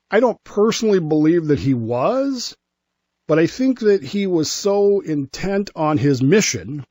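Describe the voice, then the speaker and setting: An American man talking through history as a radio commentator, explaining in a measured way and often repeating himself haltingly. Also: on the high side, a little mellow, no echo. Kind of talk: conversational radio discussion.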